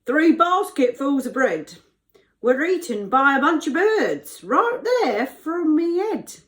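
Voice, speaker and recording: A woman voicing a naive male baker with drawn-out words and rustic dialect in a storybook telling. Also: sits high, sing-song, voice pushed up, exaggerated voice.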